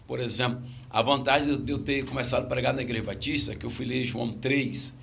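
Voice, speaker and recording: A man's voice: mid-range voice, steady tone, a little fast, creaky, bright, forceful tone, slight background noise. A middle-aged man from Brazil, a pastor with a stammer, telling stories in casual podcast chat and repeating his key phrases.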